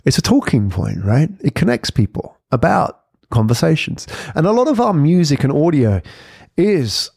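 A man's voice: on the high side, big pitch swings, moderate pace, vocal fry, a little mellow, husky, no room sound, studio quality. A man in his forties talking in a casual, conversational podcast monologue, reflective and fond of rhetorical questions and tags. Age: 40s. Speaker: podcast host.

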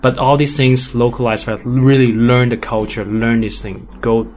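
A man's voice: mid-range voice, unhurried, slight background noise. A man from Hong Kong with an American-sounding accent, speaking animatedly as a guest on a panel, restarting and repeating himself often. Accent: American-sounding English. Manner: animated, with frequent restarts and repetitions. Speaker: guest entrepreneur. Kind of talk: casual panel discussion.

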